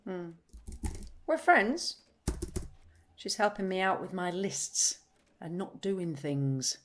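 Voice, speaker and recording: A woman's voice: lowish voice, big pitch swings, takes it slowly, a little hiss or hum. An actress playing a blunt, restless, literal-minded woman in audio play dialogue. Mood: defensive.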